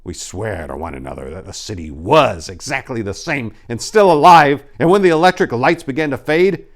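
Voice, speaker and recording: A man reading a horror tale dramatically as a haunted, dread-filled narrator, theatrical and ominous with drawn-out emphasis. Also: mid-range voice, animated, pitched above the performer's own voice, natural voice. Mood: frantic defiance.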